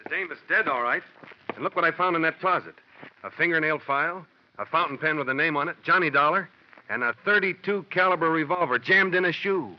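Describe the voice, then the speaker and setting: Higher-voiced policeman played by a man, muffled recording. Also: brisk, lightly acted. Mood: eager. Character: eager subordinate male policeman reporting finds. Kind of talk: 1950s radio detective drama acting. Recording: muffled, noisy old radio broadcast.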